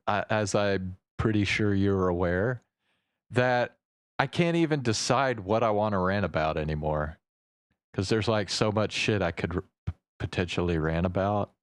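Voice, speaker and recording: Male streamer, very low and smooth, slow rambling casual chat, close dry sound, faint music. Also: dry recording. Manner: halting, rambling, casual swearing. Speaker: live streamer.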